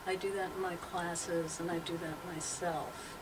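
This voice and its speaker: Slow, measured older woman, distantly recorded. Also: lowish voice, little pitch movement. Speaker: poet and teacher. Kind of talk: interview talk and poetry reading aloud. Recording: distant mic, clearly noisy background.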